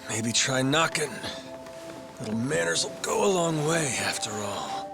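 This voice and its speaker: Wry, needling rogue for a game; mid register, slow delivery, cocky. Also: male voice, medium pitch, expressive, vocal fry, loud music behind the voice. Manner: wry, teasing. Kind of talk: English video game cutscene acting.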